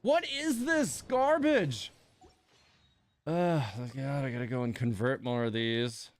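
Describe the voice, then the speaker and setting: Exasperated male game streamer, wide pitch swings. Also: lively intonation, takes it slowly. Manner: exasperated grumbling with mild swearing and sighs. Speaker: game livestream host. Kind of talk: casual livestream chat and reactions while playing.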